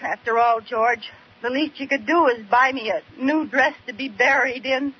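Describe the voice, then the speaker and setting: High-pitched scheming radio sitcom housewife played by an actress. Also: female voice, normal speed, close to an everyday voice. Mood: melodramatic pleading. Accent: American. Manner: theatrical, exaggerated pleading. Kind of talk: 1940s-50s radio comedy acting. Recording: muffled, noisy old radio recording.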